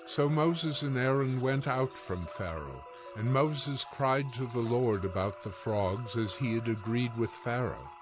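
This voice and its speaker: A man narrating scripture for a dramatized audio Bible in a measured, solemn storytelling manner. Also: medium pitch, expressive, music in the background.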